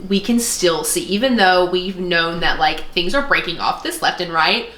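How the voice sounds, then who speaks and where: Bright-voiced woman chatting in an echoey room. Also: lowish voice, moderate pace. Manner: informal, often voices quoted remarks. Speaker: podcast co-host, former art student. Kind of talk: casual chat, animated personal storytelling. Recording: roomy, echoey sound.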